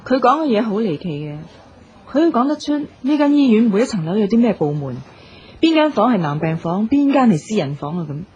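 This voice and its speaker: An actress playing a calm hospital doctor, explaining things in a measured, reassuring way in a radio drama. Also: female voice, mid-range voice, slight background noise, natural voice. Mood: puzzled, explaining. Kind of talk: Cantonese radio drama acting. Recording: dull radio drama recording, music behind.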